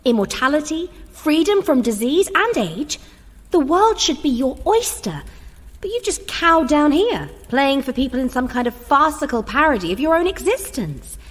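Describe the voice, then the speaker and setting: A power-hungry, exasperated mortal in a comic radio play. Her high, husky voice is lively and insistent, pressing her case urgently in a reverberant hall. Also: heavily acted. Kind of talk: comic audio drama acting.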